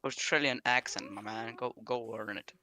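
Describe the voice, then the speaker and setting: A man's voice: mid-range voice, steady tone, normal speed, pushed and bright, a little hiss or hum. A man from Slovakia chatting on a gaming stream, prodding and challenging his friends in banter. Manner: challenging, prodding others to do accents.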